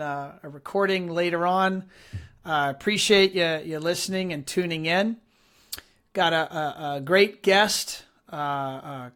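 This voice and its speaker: A man hosting a live-streamed interview show, chatty and upbeat with many fillers, his voice raspy and hoarse from allergies. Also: fairly high pitch, a bit bright. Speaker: host.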